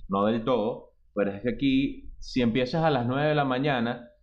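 A man's voice: medium pitch, normal speed, muffled recording. A man with a Venezuelan accent, chatting on a podcast in a reflective, wry way. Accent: Venezuelan Spanish. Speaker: podcast co-host. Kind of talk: casual chat.

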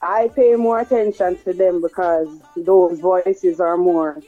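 A woman with a deep, dark, mellow voice who talks very slowly. She answers in a brief, laid-back way. Faint music sits behind her. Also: fairly even intonation, muffled recording. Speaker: reggae dancehall artist, interview guest.

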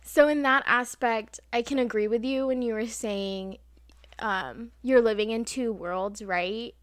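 A woman's voice: a little high, measured pace, clear, resonant tone, no echo, studio quality. A young American woman with an American accent, chatting casually and poking fun at herself. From United States. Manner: chatty, self-deprecating. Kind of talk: casual chat.

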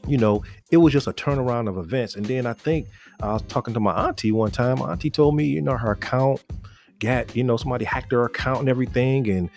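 A man's voice: medium pitch, quick pace, creaky, darkish tone, soft background music. A man in his forties preaching casually to other men, earnest and exhorting, often restarting and repeating words. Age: 40s. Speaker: faith podcast host.

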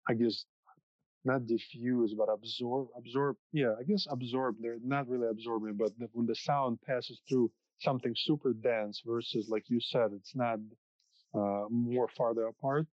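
A man, a music producer whose first language is Russian, explaining studio acoustics in a halting casual chat with many restarts. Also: medium pitch, fairly even intonation, darkish tone, no room sound. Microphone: podcast microphone. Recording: dull, dry sound.

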